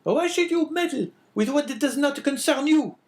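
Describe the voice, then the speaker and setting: A man reading an audiobook voices a sickly, suspicious wife in a shrill, scolding tone. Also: animated, normal speed, a little mellow.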